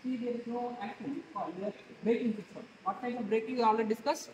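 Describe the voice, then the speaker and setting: A man's voice: extremely high pitch, fairly even intonation, quick pace, roomy sound, noisy background. A man in his thirties with an Indian English accent, demonstrating a scooter to a class and quizzing students with questions as he goes. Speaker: instructor. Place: large open workshop hall with students.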